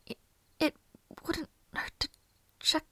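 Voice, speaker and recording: A woman voicing a gentle, anxious, lovelorn young maid in an intimate fantasy audio roleplay, speaking softly and breathily with hesitant, old-fashioned formal phrasing. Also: takes it slowly, no echo. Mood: uneasy, nervous. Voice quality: soft, breathy, often near whisper.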